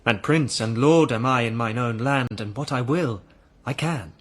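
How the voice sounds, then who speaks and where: An actor playing a noble, courtly knight in a verse audio drama, speaking formally and earnestly. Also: male voice, medium pitch, moderate pace, a bit bright, husky. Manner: formal, declamatory.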